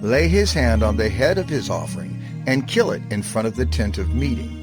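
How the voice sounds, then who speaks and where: Casting: male narrator for dramatized scripture, mid-range voice with expressive rise and fall, grave delivery. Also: medium pitch. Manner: solemn, measured, deliberate emphasis. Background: music.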